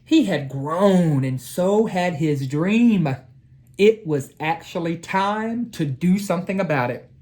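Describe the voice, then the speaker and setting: A woman with a fairly low voice, reading aloud as an educator to children. She is deliberate and emphatic, addressing her young listeners directly. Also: animated, warm tone. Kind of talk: storybook read-aloud and talk to children.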